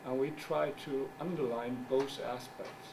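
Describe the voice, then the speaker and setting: A middle-aged man whose first language is German gives a conference talk as a journal editor. He speaks English haltingly, searches for words, and makes wry asides. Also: medium pitch, steady tone, creaky. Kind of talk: conference presentation. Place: conference room. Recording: distant mic with background noise.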